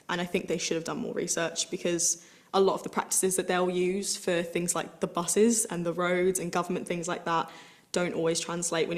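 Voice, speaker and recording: A woman, speaking earnestly and reflectively in a recorded interview clip about her experience on a program. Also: fairly low pitch. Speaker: program participant in a video clip.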